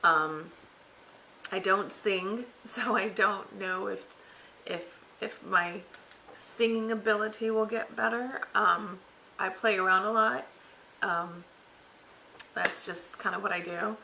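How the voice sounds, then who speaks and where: A woman in her thirties with a raspy voice that cracks at times, sounding tired after throat surgery, giving a rambling, casual update full of fillers from her living room couch. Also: mid-range voice, takes it slowly, pauses often, bright, forceful tone, a little hiss or hum, rough recording. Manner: rambling, filler-heavy, self-correcting. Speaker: vlogger. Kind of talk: casual health update vlog.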